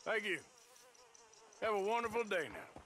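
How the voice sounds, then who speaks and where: A man's voice: sits high, animated, normal speed, bright, forceful tone, slight background noise. An actor playing a wary, plain-spoken outlaw gunman in a game, with an American accent and a dry, laconic manner. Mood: dryly polite. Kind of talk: dramatic game cutscene acting.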